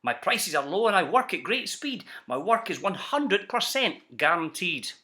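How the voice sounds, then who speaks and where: A man's voice: sits high, big pitch swings, normal speed, bright, forceful tone, full character voice. A man voicing a sly, slick travelling salesman in a storybook, with keen, persuasive patter. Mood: brisk pitching.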